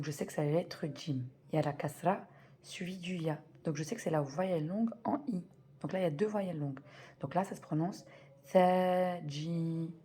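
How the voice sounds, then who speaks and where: Woman teaching beginners, low warm voice, slow and careful, repeats every sound. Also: slight background noise. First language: French. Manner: slow deliberate spelling-out of sounds, repeats key words. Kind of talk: patient step-by-step reading lesson.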